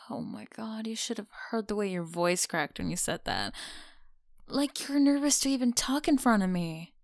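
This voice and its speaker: Bratty American college bully girl, creaky voice, acted by a woman. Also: mid-range voice, lively intonation, moderate pace, no room sound, studio quality, natural voice. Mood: mocking, feisty. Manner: mocking, sighing, casual slangy talk. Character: mean, teasing, bratty female college bully. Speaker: ASMR roleplay performer. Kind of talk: ASMR audio roleplay, one-sided dialogue.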